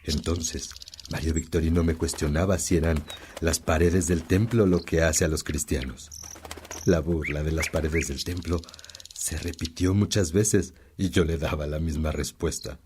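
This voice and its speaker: An actor playing a wise, kindly old priest in a Spanish-language radio drama, telling stories in a measured, earnest way. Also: male voice, a bass voice, steady tone, noisy background. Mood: earnest storytelling.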